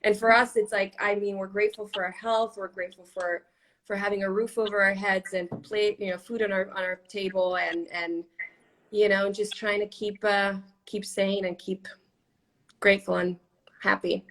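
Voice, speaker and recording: A woman's voice: mid-range voice, little pitch movement, moderate pace, fairly bright tone, rough recording. A woman who grew up in Miami with Spanish as her first language, chatting expressively and thinking aloud as a guest on a casual live stream. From Miami, USA. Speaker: actress, guest.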